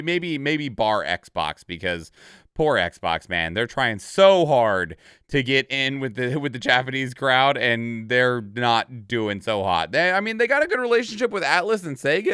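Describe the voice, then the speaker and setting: A man giving casual, informal reaction commentary as a host. His voice is clear and smooth with lively swings in pitch, recorded dry and close, and he tends to repeat words for emphasis. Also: dry recording. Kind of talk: casual commentary on game trailers.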